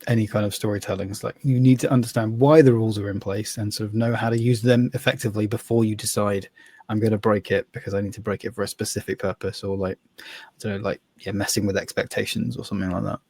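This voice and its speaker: A man's voice: lowish voice, steady tone, fast talker, warm tone, breathy edge. A man chatting casually as a podcast co-host, loose and conversational.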